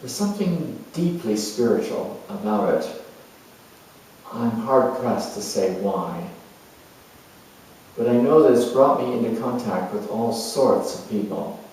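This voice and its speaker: A man playing a creative, spiritual-minded sculptor in a dramatized monologue, speaking in a halting, musing, expressive way with pauses. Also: mid-range voice, slight background noise. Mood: proud, amused. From New Zealand. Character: creative, sensual, reflective middle-aged male sculptor, spiritual seeker. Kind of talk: dramatized first-person monologue before an audience or room. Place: echoey room, recorded from far off. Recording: very echoey room, distant microphone.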